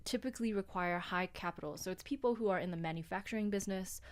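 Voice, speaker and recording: A woman guest on a radio show, calmly explaining business funding in a relaxed, explanatory way and spelling things out slowly. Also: mid-range voice. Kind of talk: radio studio chat.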